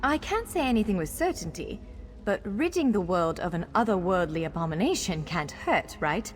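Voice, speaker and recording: Spirited game warrior guide, very animated, encouraging, long reverb, music behind. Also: female voice, lowish voice. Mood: wary, explanatory. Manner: brisk, encouraging, urgent in combat. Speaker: game companion and tutorial guide. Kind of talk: scripted video game dialogue.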